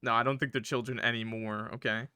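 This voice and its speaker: Bright-voiced, jokey male streamer. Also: medium pitch, flat delivery, fast, smooth, no echo, studio quality. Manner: jokey, slangy. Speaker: video game streamer. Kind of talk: casual commentary mixed with read-aloud narration.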